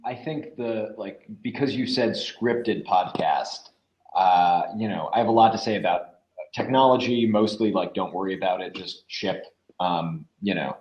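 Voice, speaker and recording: A man giving casual advice as a podcast guest, speaking informally and somewhat haltingly. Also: sits low, darkish tone. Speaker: podcast guest, audio drama creator. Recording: roomy, dull lo-fi audio.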